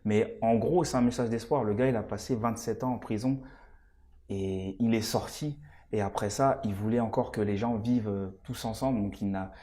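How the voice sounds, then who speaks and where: A man's voice: on the low side, fairly even intonation, normal speed, mellow. A man in his twenties, an actor raised in France and born in Congo, answering interview questions in an earnest, hesitant way with many fillers. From Congo-Kinshasa, raised in France. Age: 20s. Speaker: stage actor, interview guest.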